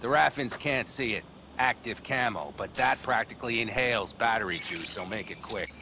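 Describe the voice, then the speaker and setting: An actor playing a weary, no-nonsense nomad veteran in a video game, brusque and dry. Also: male voice, mid-range voice, normal speed, noisy background, close to an everyday voice. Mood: dry, impatient.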